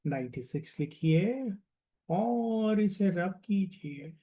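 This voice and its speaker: A man leads a guided relaxation as a meditation coach, soothing and deliberate, repeating phrases and letting them trail off. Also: sits high, lively intonation, mellow.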